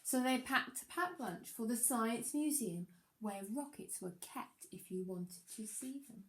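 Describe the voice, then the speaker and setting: A woman reading a children's picture book aloud like a primary teacher, expressive, with dramatic emphasis on the rhymes. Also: a little high, measured pace, slight background noise.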